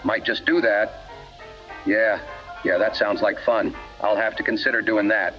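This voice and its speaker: A man's voice: on the low side, fairly even intonation, measured pace, close to an everyday voice. A man playing a violent, boastful gangster mama's boy in a parody radio drama, crude and menacing. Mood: slow menace. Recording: muffled old-radio sound with music.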